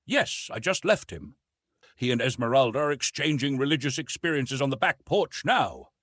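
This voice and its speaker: A proud, self-possessed young woman of an old storybook romance, voiced by a male narrator. She speaks in cool, articulate sentences with a slightly husky tone and lively emphasis. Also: medium pitch, normal speed, no room sound, studio quality. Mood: polite, brisk. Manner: cold, level, articulate.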